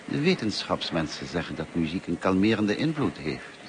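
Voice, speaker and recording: Kindly musing teacher, old radio drama, really low voice, lots of background noise. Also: male voice, very animated, normal speed. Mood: chatty, earnest. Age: middle-aged. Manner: courteous, musing, hesitant and self-effacing. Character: kindly, talkative, lonely grey-haired male French teacher. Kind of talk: Dutch radio play acting. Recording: noisy old radio play recording.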